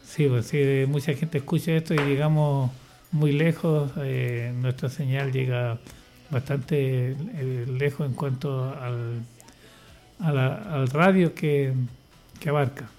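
A man's voice: mid-range voice, steady tone, takes it slowly, a little mellow, faint music behind. An older man speaking in casual radio chat, hesitant and rambling, with many restarts and fillers. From Chile. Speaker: radio guest, church choir leader.

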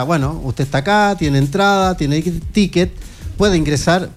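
A man with a Chilean accent hosting a live football broadcast, chatting conversationally and stating his opinions plainly. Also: sits high. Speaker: sports radio host.

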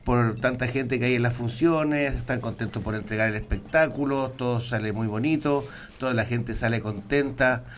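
A man's voice: mid-range voice, normal speed, hardly any pauses, a little hiss or hum. A Chilean man speaking as a guest in a casual radio interview, friendly, often repeating himself as he searches for words. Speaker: circus spokesman, radio guest. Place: radio studio.

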